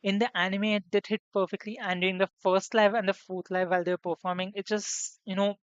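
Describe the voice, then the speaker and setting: A young woman streaming on her debut as a VTuber, chatting casually and rambling with lots of fillers and restarts, her voice slightly hoarse. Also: medium pitch, flat delivery, fast, no echo. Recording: dull lo-fi sound, dry room.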